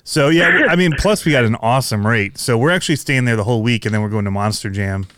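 A man hosting a casual podcast chat, upbeat and quick to laugh. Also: medium pitch, fairly bright tone, slight background noise. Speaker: podcast host.

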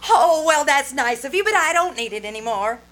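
A woman's voice: high voice, animated, fairly quick, fairly bright tone. A woman playing a shrewd, coy young wife with a sweet front in an old-time radio comedy. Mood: sweet, puzzled.

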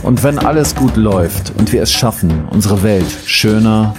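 A man with a low voice reads a scripted radio advert as an announcer. His promotional delivery is tongue-in-cheek, and loud music plays behind him. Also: lively intonation, normal speed. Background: loud music bed.

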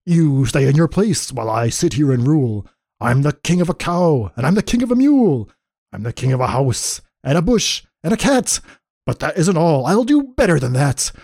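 Man acting a boastful turtle king, pompous, bellowing, wide swooping pitch, storybook character, close dry sound. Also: big pitch swings, normal speed, a little mellow, no room sound. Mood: imperious. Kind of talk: animated children's storybook reading.

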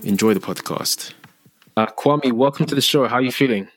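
A man's voice: mid-range voice, brisk. A man hosting a podcast, chatting casually with a guest, with many fillers and restarts. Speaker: podcast host. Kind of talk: casual interview chat. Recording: dry with faint music.

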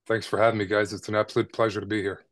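A man's voice: on the low side, little pitch movement, very fast, a bit bright, no echo, studio quality. A man from Canada, a podcast guest, telling his story in a casual, conversational way with frequent "right?" tags and small repeats.

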